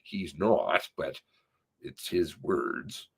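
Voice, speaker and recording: A man's voice: a bass voice, expressive, drawn-out words, gravelly, slightly rough. A man reading an interview transcript aloud, stressing words for emphasis as he goes. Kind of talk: read-aloud of spoken interview transcript with commentary.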